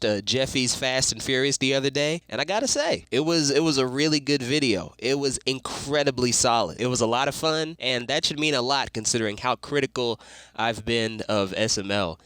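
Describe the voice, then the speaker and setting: A young man with a cold talks through his commentary in a loose, slangy way, tossing in fillers and the occasional swear. His voice is bright and forward, smooth despite the illness. The recording is dry and close, with no room sound around him. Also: medium pitch, moderate pace, no room sound. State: sick, voice sounds off. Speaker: YouTube commentary host. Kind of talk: casual commentary talking to camera.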